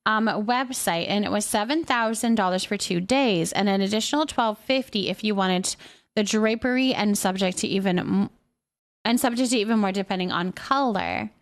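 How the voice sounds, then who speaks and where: A woman's voice: mid-range voice, moderate pace. A woman chatting casually as a live streamer, reading viewer comments aloud and musing on them. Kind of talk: casual livestream chat.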